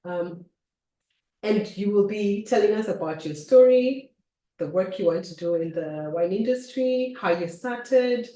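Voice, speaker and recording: A woman's voice: mid-range voice, expressive, unhurried, warm tone, distant mic. A woman hosting a casual interview, chatty and teasing, who laughs often. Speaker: host. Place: home kitchen. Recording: roomy, from off-mic.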